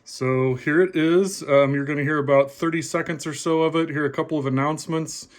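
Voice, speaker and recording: A man's voice: a little high, steady tone, a little fast. A man giving a casual, plainspoken explanation to viewers, like a hobbyist presenting a demonstration.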